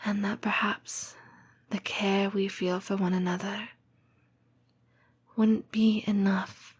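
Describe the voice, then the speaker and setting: A woman voicing a tender, patient lamia girlfriend in an intimate comfort roleplay, breathy and whispering, with measured, formal phrasing. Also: medium pitch, little pitch movement. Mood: tender, reassuring. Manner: slow, measured, formal phrasing with deliberate pauses. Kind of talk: intimate comfort roleplay audio, scripted monologue.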